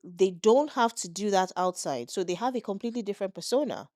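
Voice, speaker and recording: Relaxed Nigerian woman hosting a podcast. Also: mid-range voice, a little fast, no echo, studio quality. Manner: conversational, steers topics, checks in with "do you get what I mean". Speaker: podcast co-host. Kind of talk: casual chat.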